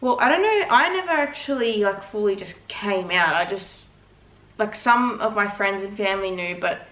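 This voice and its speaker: A woman of twenty-one, chatting casually in a relaxed, rambling way while co-hosting a Q&A vlog from her living room couch. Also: mid-range voice, normal speed. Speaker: vlogger co-host. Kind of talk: casual Q&A chat. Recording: echoey room.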